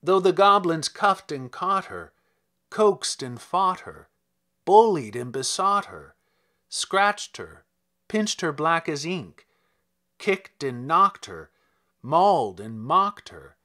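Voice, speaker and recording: A man reading a narrative poem aloud in a theatrical, expressive, measured way. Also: on the high side. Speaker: reader of a poem. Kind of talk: dramatic narrative poetry reading.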